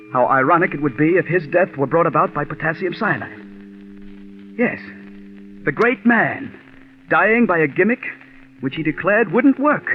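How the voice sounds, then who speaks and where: A man's voice: medium pitch, close to an everyday voice. Man acting a brooding radio writer, tinny vintage sound. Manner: intense, brooding, stammers when agitated. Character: bitter male radio scriptwriter quietly plotting murder. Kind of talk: 1940s radio drama acting and first-person narration. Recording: tinny 1940s radio recording with music.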